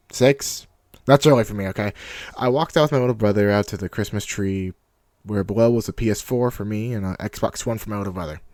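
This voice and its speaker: A young man with a deep voice looking back on a game, talking casually and nostalgically with self-mocking asides. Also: no room sound, studio quality. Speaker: YouTube creator. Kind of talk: reflective personal vlog narration.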